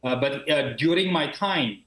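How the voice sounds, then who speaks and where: A man's voice: on the high side, lively intonation, measured pace, fairly bright tone, roomy sound. A man from Ethiopia, a university professor in his thirties, answering interview questions over a video call with many fillers and restarts.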